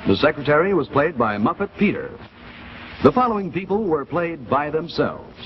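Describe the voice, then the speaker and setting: A man's voice: on the high side, big pitch swings, moderate pace, over music. A man announcing in a formal, emphatic, scripted 1950s television credits style. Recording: muffled 1950s television soundtrack.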